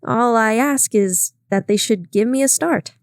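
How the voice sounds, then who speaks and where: A woman's voice: on the high side, a little slow, no room sound. Brief: a woman playing an earnest, self-promoting photographer, eager, dry read.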